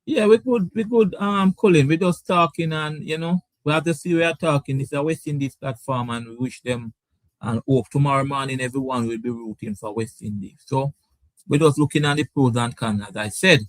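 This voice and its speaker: A man with a Caribbean English accent hosting a sports debate show, animated and repeating his words. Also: mid-range voice, fairly quick, no echo, rough recording. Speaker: show host. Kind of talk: lively sports debate talk.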